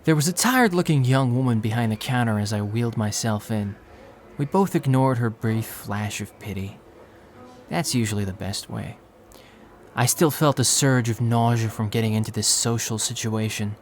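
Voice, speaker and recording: An actor playing a poor, anxious young man who narrates his own story in a quiet, melancholy, self-critical way. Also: medium pitch, moderate pace, a little hiss or hum, lightly acted. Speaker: narrator and lead character. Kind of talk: first-person audio drama narration.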